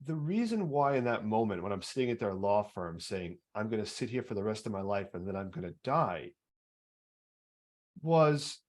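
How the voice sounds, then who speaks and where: Low-voiced earnest baritone man. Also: very animated, normal speed. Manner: earnest, repetitive restarts. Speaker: podcast host. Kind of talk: personal storytelling in interview.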